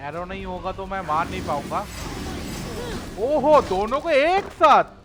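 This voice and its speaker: A man does casual gameplay commentary in an excitable, slangy way. His voice is high and extremely quick, with game music clearly behind him. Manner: excitable, slangy, reacting to play. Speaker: gaming streamer. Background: game music and sounds.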